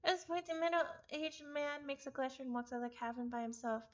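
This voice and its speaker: A woman's voice: sits high, brisk, dull audio. A woman reading a story aloud live with casual asides, often stumbling over and repeating words. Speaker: visual novel reader, streamer.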